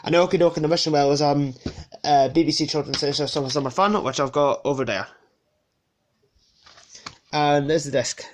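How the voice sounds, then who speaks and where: A man's voice: fairly high pitch, fairly even intonation, normal speed, pauses often, a little hiss or hum. A man with a sniffly cold casually presenting his collection unscripted, hesitating and correcting himself as he goes. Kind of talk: casual unscripted show-and-tell.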